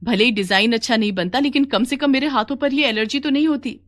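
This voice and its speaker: Irate complaining housewife for cartoon story, female performer; high voice. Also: quick pace, fairly bright tone, natural voice. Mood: angry. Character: angry, complaining woman customer.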